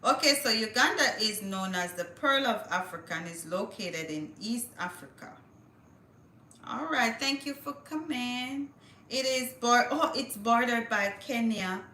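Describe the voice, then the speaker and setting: A woman hosts a relaxed livestream, chatting and thinking out loud as she reads news and looks things up. Her voice is bright and forward, and the room around her gives it a noticeable echo. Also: fairly high pitch, unhurried. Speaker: livestream host. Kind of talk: casual livestream chat, reading news aloud.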